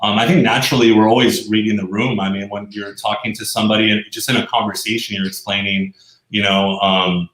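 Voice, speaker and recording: A man answering interview questions in a casual explanatory way, agreeable, with a hesitant, halting delivery. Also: on the low side, fairly even intonation, fairly bright tone. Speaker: interview guest, animated explainer video professional. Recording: echoey, roomy sound.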